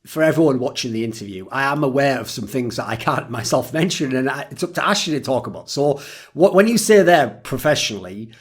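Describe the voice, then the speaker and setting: A man's voice: mid-range voice, expressive, brisk, breathy edge. A man hosting a casual interview, asking long, winding questions full of likes and restarts. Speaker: host.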